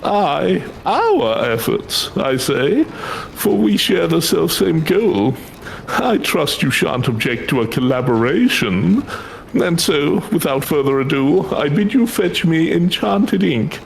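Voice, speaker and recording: A man voicing an excitable, easily frustrated scholar in a fantasy game, with flustered and theatrical formal speech. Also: on the high side, slow, vocal fry, slight background noise, pitched above the performer's own voice, heavily acted, low-quality recording. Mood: earnest. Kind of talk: fan read-aloud of fantasy game dialogue.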